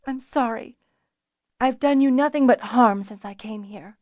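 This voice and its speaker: A woman's voice: mid-range voice, measured pace, dry recording, highs cut off, natural voice. A woman voicing a distressed, wounded young female dragon rider who is emotional and apologetic, in an audiobook story. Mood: miserable.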